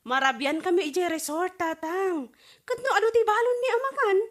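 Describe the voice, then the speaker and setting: A woman's voice: extremely high pitch, normal speed. A woman acting a breezy, self-indulgent daughter home from abroad in an Ilocano radio comedy drama.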